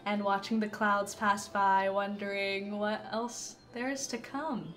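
A woman's voice: mid-range voice, fairly even intonation, unhurried, a bit bright. A young woman playing a tabletop roleplaying game, chatting casually and playfully as she improvises her character. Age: young adult. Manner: informal, playful, frequent "like". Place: indoor studio, seated at a shared table. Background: background music.

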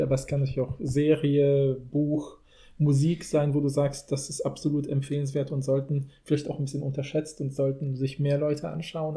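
A man's voice: a little high, mellow. A man hosting a podcast, chatting casually and putting questions to his guest. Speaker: podcast host. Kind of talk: casual chat, asking questions.

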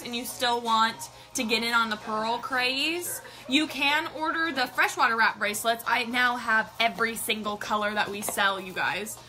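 Bubbly bright young woman live-selling. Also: a little high. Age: young adult. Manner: bubbly, greets viewers by name, reads comments aloud. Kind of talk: chatty live-stream selling. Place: small indoor room with a colorful backdrop.

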